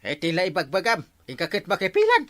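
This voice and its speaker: A man's voice: high-pitched, huge pitch swings, fast talker, a bit bright, full character voice. Man acting an exasperated, wildly swooping village captain. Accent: Ilocano. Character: exasperated male village captain keeping order. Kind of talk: broad barangay comedy acting.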